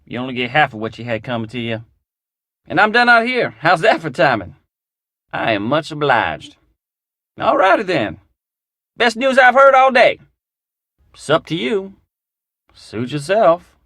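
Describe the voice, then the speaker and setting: An actor playing a folksy, drawling mechanic with a Southern US accent in a video game. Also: male voice, a little high, sing-song, a little slow, pauses often, sharp tone, no room sound, clean studio sound, full character voice. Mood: disappointed, then pleased. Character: folksy drawling male mechanic, gruff but friendly. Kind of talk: video game character dialogue.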